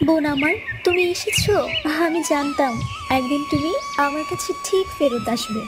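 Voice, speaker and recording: A high voice with extreme swoops plays a young brother turned peacock, acted by a woman. He sounds plaintive and grieving, a fairy tale cartoon figure with music behind him. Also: wildly swooping pitch, normal speed.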